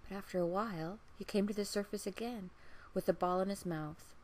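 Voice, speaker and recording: A woman storyteller reading a fairy tale aloud in a measured, expressive way. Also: medium pitch, a little hiss or hum. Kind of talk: scripted fairy-tale narration.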